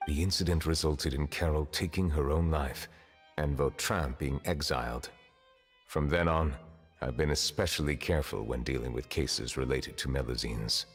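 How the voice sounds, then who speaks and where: A man voicing a dignified, reserved chief judge in a fantasy game, speaking formally in a grave, measured, courteous manner. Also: very deep voice, fairly even intonation, breathy edge. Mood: somber regret. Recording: reverberant hall, faint background music.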